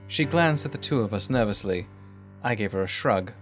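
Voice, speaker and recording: A man's voice: fairly low pitch, animated, normal speed, faint music behind. A man performing scripted first-person narration of a dramatic story.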